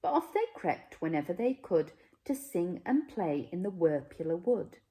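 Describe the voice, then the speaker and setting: A woman reading a rhyming children's story as a teacher would, with measured, dramatic phrasing. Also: on the low side, sing-song, warm tone. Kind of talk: expressive rhyming storybook narration.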